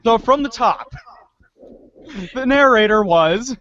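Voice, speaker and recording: A man's voice: extremely high pitch, expressive, slow pace, sharp tone, dull audio. A man hosting a comedy show with casual, playful announcing. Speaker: show host.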